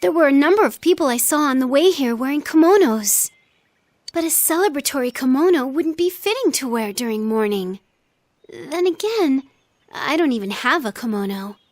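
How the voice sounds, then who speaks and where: A woman's voice: high voice, animated, moderate pace, dry recording, clean studio sound. A woman voicing an earnest, considerate and reserved teenage girl in an anime video game, polite and thoughtful. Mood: apologetic.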